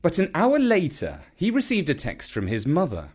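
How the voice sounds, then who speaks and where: A man narrating a scripted children's story in a dramatic, expressive manner. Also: a little high, normal speed, slightly rough.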